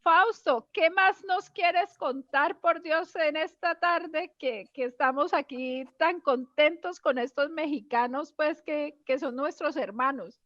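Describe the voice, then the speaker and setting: A woman's voice: high voice, takes it slowly, hardly any pauses, a bit bright, no room sound, lo-fi sound. A middle-aged woman hosting a casual interview in a chatty, effusive way. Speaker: interview host. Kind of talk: casual chat.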